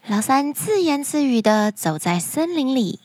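Lady reading kids fairy tales, high voice, super expressive, dry close recording. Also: a little slow, no echo. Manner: expressive, dramatic narration. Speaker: children's story podcast host. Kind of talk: animated scripted storytelling for children.

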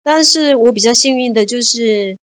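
A woman's voice: a little high, moderate pace, dry recording. Cheerful woman in her fifties, dry sound. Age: 50s. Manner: cheerful, laughs often, repeats words. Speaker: guest. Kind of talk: casual interview chat.

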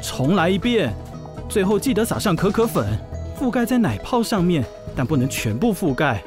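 Nervous, fussy young intern, lively high voice. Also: male voice, normal speed, over music. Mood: anxious, fussy. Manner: anxious, precise, self-reassuring. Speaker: voice actor in an audio drama. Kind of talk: audio drama monologue and dialogue.

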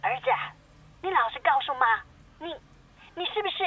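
A woman's voice: sits high, lively intonation, moderate pace. A woman playing a nagging, melodramatic middle-aged mother in a comedy radio drama, heard through a telephone filter. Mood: aggrieved, dramatic. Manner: wheedling, dramatic, chatty. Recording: tinny band-limited phone-call sound.

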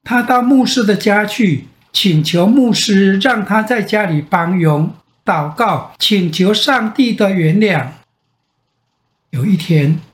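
An older man with a Taiwanese Mandarin accent tells a bedtime fairy tale as a grandfather, with dramatic pauses and repetition. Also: high voice. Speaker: grandfather storyteller. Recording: roomy echo.

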